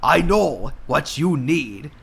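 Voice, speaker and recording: Man putting on a measured kung fu master. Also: on the high side, expressive, vocal fry, a bit bright, husky, full character voice. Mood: sagely. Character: calm male kung fu master and trainer.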